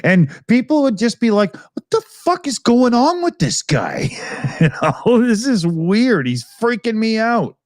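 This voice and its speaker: A man's voice: high voice, sing-song, a little slow, dry recording, clean studio sound. A Canadian man co-hosting a podcast, chatting casually, joking and swearing often. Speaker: podcast co-host. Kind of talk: casual chat.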